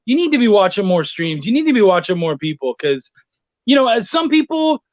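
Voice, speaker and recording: High-voiced man on dull lo-fi audio. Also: sing-song, a little fast, no echo. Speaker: guest, freelance comics editor. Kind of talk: casual chat.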